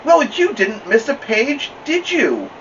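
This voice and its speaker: A kindly children's librarian lady, voiced by a man reading a storybook, with a lifted pitch. She is polite, understanding and gently questioning. The sound is a little dull, away from the mic. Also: huge pitch swings, takes it slowly, far from the mic, slight background noise, full character voice. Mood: gently amused.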